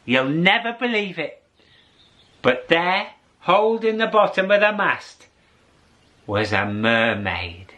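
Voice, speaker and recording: A man telling a story aloud in a theatrical way, with dramatic pauses and drawn-out words. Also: high-pitched, a bit bright. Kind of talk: animated oral storytelling to an audience.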